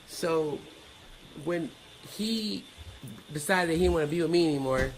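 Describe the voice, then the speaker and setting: A woman's voice: sits low, normal speed, slight background noise, poor audio. A woman guest on a podcast, frankly telling personal stories in colloquial, matter-of-fact language. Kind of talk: candid personal storytelling.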